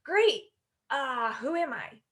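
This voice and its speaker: Animated, giggly woman podcast co-host. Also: sits high, slow pace. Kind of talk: casual podcast banter.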